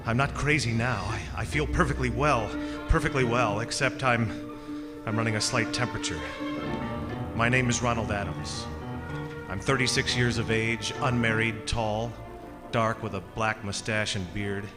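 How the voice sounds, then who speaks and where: A man playing an anxious thirty-six-year-old man recounting a terrifying tale in a halting, repetitive radio suspense monologue. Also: medium pitch, fairly even intonation, loud music behind the voice, natural voice. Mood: anxious, haunted. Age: 30s. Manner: halting, repetitive, insisting on his sanity.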